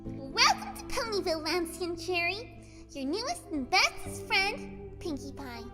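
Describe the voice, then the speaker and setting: A man voicing a bubbly young female party pony in a squeaky, very high voice in a fanfic reading. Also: sing-song, a little slow, music in the background, heavily acted. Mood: cheerful. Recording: echoey long reverb.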